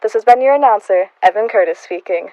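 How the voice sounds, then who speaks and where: A woman's voice: medium pitch, quick pace, warm tone, no echo, muffled recording. A woman announcing scripted radio drama credits.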